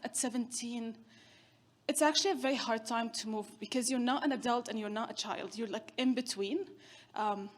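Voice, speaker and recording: Chatty keynote woman, higher voice. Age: 30s. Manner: chatty, storytelling with self-deprecating humor. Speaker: keynote speaker. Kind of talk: conference keynote talk.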